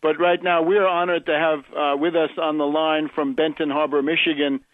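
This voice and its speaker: A man hosting a political radio show, interviewing conversationally and deliberately restating points to check them. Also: a little high, little pitch movement. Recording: slightly dull radio broadcast sound.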